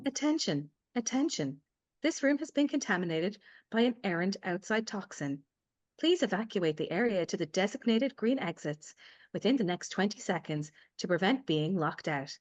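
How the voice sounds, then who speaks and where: A woman's voice: medium pitch, animated, moderate pace, dry recording, close to an everyday voice. Woman as automated AI announcer, dry sound. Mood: impersonal warning. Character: calm automated AI evacuation announcer in a thriller. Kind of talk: formal safety announcement, acted live.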